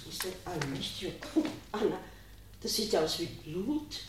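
A woman's voice: lowish voice, big pitch swings, normal speed. A woman playing an anxious, fretful mother in a radio play, exclamatory in her delivery. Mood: frightened. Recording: very echoey room, faint noise.

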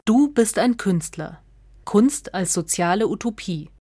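A woman from narrates a scripted radio essay in a measured, clearly articulated way. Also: mid-range voice, dark tone, soft background music.

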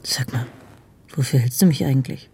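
An actress playing a sharp, caring middle-aged wife in a radio drama, speaking in a dry, probing, intimate way. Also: female voice, a bass voice, normal speed, husky, exaggerated voice. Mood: probing, indignant. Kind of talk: naturalistic radio drama acting.

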